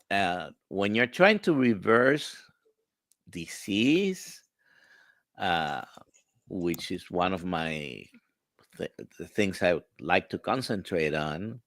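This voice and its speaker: A man with a Spanish accent, a physician guest answering interview questions in a measured, explanatory way over a video call, pausing on "uh". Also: low voice, gravelly, sharp tone, dry recording. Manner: measured, explanatory, many "uh" pauses. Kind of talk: live-stream interview answers.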